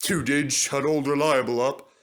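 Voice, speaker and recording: A man's voice: medium pitch, steady tone, moderate pace, fairly bright tone, natural voice. Man acting storybook steam engine, male, put-upon, sighing, plucky. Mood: spirited. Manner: mournful, sighing.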